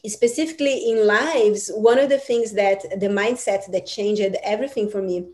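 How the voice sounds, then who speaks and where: Mellow-voiced woman, Portuguese native speaker. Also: mid-range voice. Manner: rambling, filler-heavy, earnest coaching tone. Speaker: Akashic records teacher. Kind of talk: casual interview answers.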